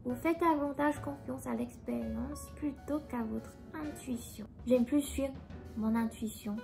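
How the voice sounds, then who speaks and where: Young woman, French, soft and hesitant, higher voice, music playing underneath. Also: gravelly. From Taiwan. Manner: soft-spoken, hesitant, pauses to find words. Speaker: vlogger. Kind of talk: casual chat answering a quiz.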